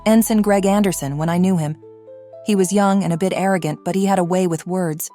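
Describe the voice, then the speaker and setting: A woman playing a regretful, fiercely protective mother in an audio drama, earnest and measured. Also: fairly low pitch, steady tone, dark tone, faint music behind, lightly acted.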